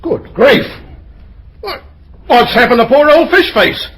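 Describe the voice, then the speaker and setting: Excitable young rival, extremely high voice, faintly noisy old radio comedy recording. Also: male voice, measured pace, full character voice. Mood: startled.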